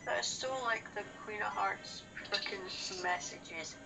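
A woman's voice: mid-range voice, a little slow, gravelly, low-quality recording. A woman co-commentating on a game in casual banter, with a teasing, sardonic manner. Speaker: co-commentator on a gaming show. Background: video game music.

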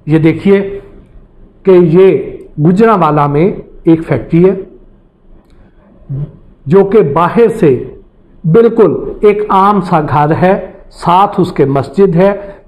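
A man's voice: on the high side, a little mellow. A middle-aged man from Pakistan, presenting from a studio desk and explaining what the footage shows, step by step. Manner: earnest, explanatory, describing step by step. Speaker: investigative reporter.

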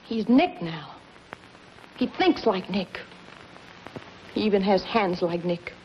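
A woman's voice: medium pitch, expressive, takes it slowly. An actress in her thirties playing a bitter, scheming, world-weary gangster's woman, in clipped, dramatic 1950s noir style. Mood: scheming, hard. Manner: hard-boiled, clipped, dramatic. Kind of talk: 1950s noir film acting. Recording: dull, noisy 1950s film soundtrack.

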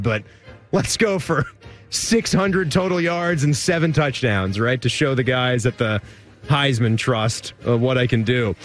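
A man hosting a post-game college football radio show, rattling off scores and joking with his co-host. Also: fairly high pitch. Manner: lively, rattles off scores and jokes.

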